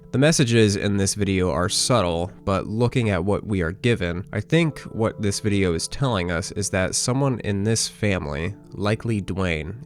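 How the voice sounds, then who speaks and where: A man with a low voice narrates a scripted video essay over faint music. He explains things in a measured but conversational way, like an analyst walking through material step by step. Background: background music.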